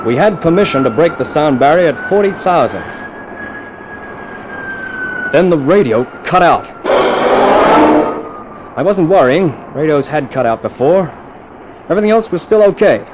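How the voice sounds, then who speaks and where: A man's voice: fairly high pitch, animated, moderate pace, pauses often, noisy background, natural voice. A man playing a cool, wry jet test pilot who narrates his own adventure in clipped, dramatic 1950s radio thriller style. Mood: tense, wry narration. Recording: old radio drama with music underneath.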